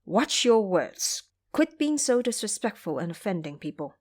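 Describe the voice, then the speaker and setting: A woman's voice: medium pitch, sing-song, moderate pace. A woman playing an earnest male travelling monk, speaking formally and deferentially in an audio drama. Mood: scolding. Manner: formal, deferential, gently scolding.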